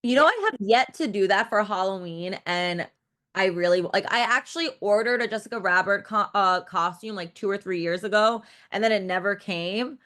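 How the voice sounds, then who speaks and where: A woman's voice: mid-range voice, normal speed, a bit bright. A woman in her thirties, a director guest in a casual interview, talking in a rambling, loose way, full of "like" and restarts, and swearing frankly.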